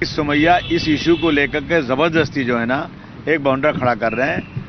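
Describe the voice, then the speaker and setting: A man's voice: fairly high pitch, steady tone, normal speed. A man giving a press soundbite in an aggrieved, repetitive way. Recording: dull location sound under music.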